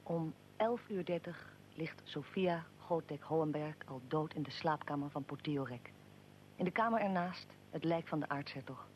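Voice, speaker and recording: An actor as a solemn chronicler in a historical radio play. The delivery is measured and declarative, with a probing edge, over a faint rumble and hiss. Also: lightly acted. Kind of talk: scripted radio drama narration.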